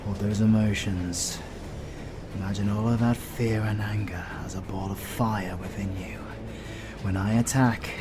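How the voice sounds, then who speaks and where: A man's voice: on the low side, noisy background. A man with a British accent playing a stern, sardonic demon hunter who mentors his apprentice in a fantasy audio roleplay, dry and commanding. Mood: calm, coaching. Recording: music behind the voice. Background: music.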